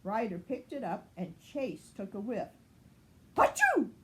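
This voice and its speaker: A woman's voice: mid-range voice, animated, measured pace, dark tone. An older woman, a grandmother, reading a children's storybook aloud.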